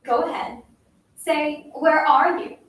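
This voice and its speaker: A woman's voice: a little high, expressive, unhurried, fairly bright tone. A woman playing a cruel schoolgirl who bullies a silent classmate with taunting, insistent commands in a dramatic story performance. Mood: taunting, commanding. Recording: very echoey room, distant mic, faint noise.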